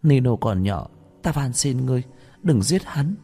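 A man's voice: medium pitch, expressive, normal speed, mellow, husky, faint music behind, voice pushed up. A man voicing an anxious, pleading young mother in an audiobook fantasy story. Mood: desperate pleading. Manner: pleading, earnest.

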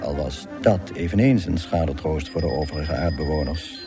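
An actor playing the dry narrator of a galactic guidebook, delivering scripted comic radio narration with measured, deadpan irony. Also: male voice, rumbling low, music in the background. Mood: wry.

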